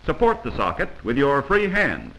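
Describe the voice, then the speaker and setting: Bright, animated 1940s training film narrator, male. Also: mid-range voice, normal speed, creaky, poor audio. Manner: instructive, emphatic. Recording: vintage 1940s film soundtrack.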